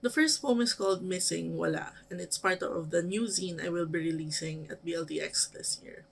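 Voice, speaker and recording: A woman with a Filipino accent and a creaky voice, reading her own poetry dramatically. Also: on the low side, normal speed. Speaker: poet reading own work.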